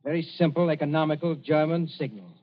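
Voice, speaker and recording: Actor as sardonic governor, muffled old radio sound. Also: male voice, a little high, fairly quick, close to an everyday voice. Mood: dry accusation. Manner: dryly sardonic, commanding. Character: cool-headed British governor, sardonic colonial sleuth. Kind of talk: old-time radio drama acting. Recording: muffled vintage radio recording.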